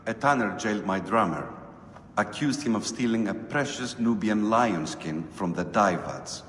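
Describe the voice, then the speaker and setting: A kindly, grave temple priest in a game. His low voice is measured and echoes strongly around a hall, with faint noise. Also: animated, fairly bright tone. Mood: sorrowful. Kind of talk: dramatic game acting.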